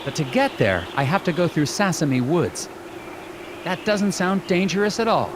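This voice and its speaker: A dutiful, polite FBI agent in a video game. His voice sits fairly high and swings in pitch as he speaks formally and earnestly. Faint noise runs behind him. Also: sing-song, moderate pace. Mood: musing, determined. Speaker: game character, investigator. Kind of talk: scripted video game dialogue and narration.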